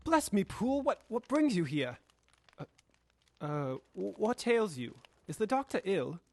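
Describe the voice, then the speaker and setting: A student actor playing a proper, anxious gentleman lawyer in a radio drama, a man speaking formally and haltingly. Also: high voice, sing-song, full character voice. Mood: startled concern.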